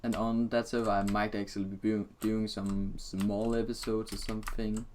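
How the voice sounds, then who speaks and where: A man chatting casually and jokingly in a halting way as host of a gaming video. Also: lowish voice, flat delivery, darkish tone. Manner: halting, often interrupting. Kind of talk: casual joking chat over a game recording. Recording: noisy home mic, keyboard clicks. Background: keyboard typing and clicking, background noise.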